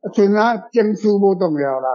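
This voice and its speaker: A Taiwanese speaker with a mellow, dark tone talks casually and at length. The delivery rambles, repeating phrases and checking in with short questions. The sound is a little muffled and lo-fi. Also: medium pitch, expressive, normal speed. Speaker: guest author answering readers' questions. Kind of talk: casual storytelling discussion.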